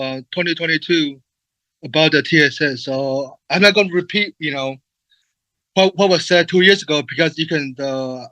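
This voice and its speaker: A man's voice: on the high side, bright, forceful tone, dry recording, rough recording. A man moderating questions and presenting at a conference, stuttering with many fillers and repeated words. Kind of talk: online conference talk.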